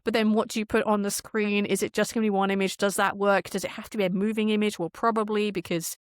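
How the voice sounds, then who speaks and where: Female podcast guest, mid-pitched, informal and emphatic, opinionated, very dry recording. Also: little pitch movement, quick pace, no echo. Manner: emphatic, informal, frequent rhetorical questions. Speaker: podcast guest, audio drama creator. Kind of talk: casual, opinionated conversation.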